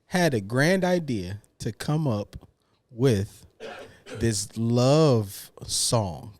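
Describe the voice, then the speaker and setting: A young woman co-host with a lively, rising-and-falling voice, telling a story with plenty of emphasis. She keeps circling back to her point in casual banter. Faint noise sits behind her. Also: rumbling low, extremely slow. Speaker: podcast co-host. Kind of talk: casual chat.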